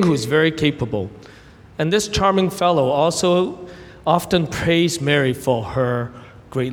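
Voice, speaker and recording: A young adult man raised in Canada, giving a sermon as guest preacher, earnest and gentle, telling stories with brief pauses. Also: fairly high pitch, big-room sound. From Hong Kong, raised in Canada. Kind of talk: sermon with prayer and illustrations.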